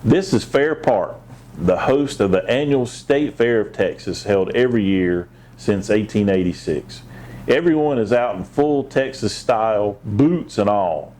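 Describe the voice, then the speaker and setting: A man's voice: lowish voice, lively intonation, unhurried, a little mellow. A man reading a children's picture book aloud, with short asides of his own. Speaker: assistant police chief, guest storybook reader.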